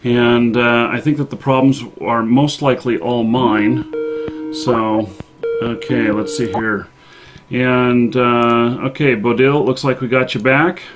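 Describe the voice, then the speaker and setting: Male live-stream host. Casual, halting delivery, thinking aloud, middle-pitched everyday voice. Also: steady tone.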